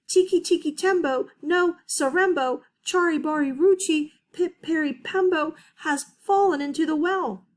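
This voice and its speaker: A woman's voice: a little high, animated, measured pace, few pauses, a little mellow. A woman reading a storybook aloud, voicing a small boy who pleads urgently and pants for breath. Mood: breathless.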